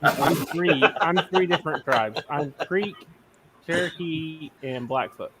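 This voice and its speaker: A middle-aged man with a slightly higher voice chats casually on a live stream. He jokes freely and laughs often, keeping things loose and unfiltered. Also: slow pace, heavy background noise. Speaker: livestream host.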